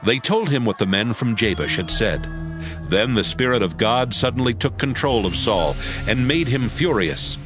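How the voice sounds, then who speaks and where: A man's voice: mid-range voice, animated, moderate pace, over music. A man narrating an audio Bible drama as a scripted, dramatic storyteller.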